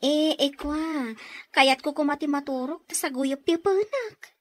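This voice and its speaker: High-voiced village housewife, drowsy but hospitable, radio drama. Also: female voice, measured pace, dry recording. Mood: hesitant, sleepy.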